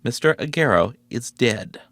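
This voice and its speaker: A man's voice: mid-range voice, expressive, normal speed, fairly bright tone. An actor playing a guarded, sceptical male research scientist in a video game, clipped and dryly sarcastic.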